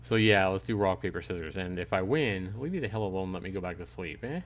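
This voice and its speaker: Male game streamer, low voice, chatty and jokey, casual play-along commentary with lines read aloud. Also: animated, quick pace. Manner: chatty, jokey, talks back to chat. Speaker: streamer playing a visual novel.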